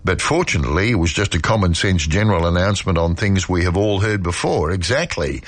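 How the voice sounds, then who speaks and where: Low-voiced Australian man hosting talkback radio. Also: expressive, a little fast, no echo. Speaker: radio talk show host. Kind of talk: talkback radio presenting, reading listener messages. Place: radio studio. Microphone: close studio microphone.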